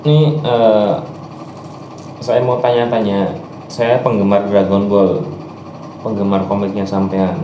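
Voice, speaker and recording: A man's voice: little pitch movement, takes it slowly, long pauses. A man, hosting and asking questions in a casual way. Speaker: host, spiritual practitioner questioning a channeled guest. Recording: noisy recording with vehicle rumble.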